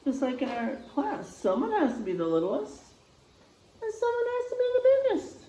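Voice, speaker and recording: A woman reading a picture book aloud in an expressive, child-directed way, with asides and questions to young listeners. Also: sits high, normal speed, echoey room, a little hiss or hum.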